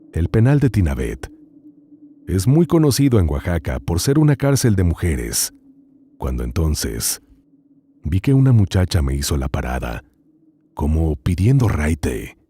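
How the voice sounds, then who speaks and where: Deep-voiced man narrating horror. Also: very animated, breathy edge. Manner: slow, hushed, dramatic reading with long pauses. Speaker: host and narrator. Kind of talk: dramatic horror story reading.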